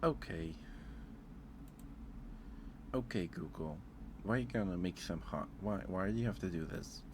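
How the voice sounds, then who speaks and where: A man with a low voice talks slowly and softly, as in a casual ASMR livestream. He muses aloud to his viewers in a hesitant, informal way. Clear background noise sits behind his voice. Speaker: live streamer hosting an ASMR stream.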